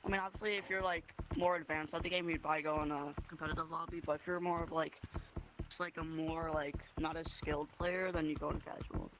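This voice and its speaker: A man's voice: on the high side, fairly even intonation, fast talker, background noise. A young male player giving loose, filler-heavy answers in a casual interview. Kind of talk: casual chat.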